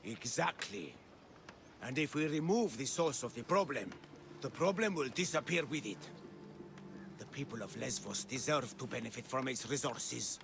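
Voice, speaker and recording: A man's voice: mid-range voice, animated, moderate pace, creaky, noisy background. A man playing a disgruntled, scheming islander in a video game, with an indignant, sardonic delivery. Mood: resentful, scheming. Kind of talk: video game cutscene acting.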